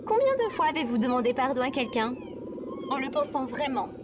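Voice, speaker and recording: A woman's voice: high-pitched, very animated, fairly quick, noisy background. A woman playing an earnest, touchy AI robot companion in an audio drama, speaking formally and precisely. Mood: solemn, forgiving. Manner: formal, precise, literal-minded. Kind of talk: scripted audio fiction acting.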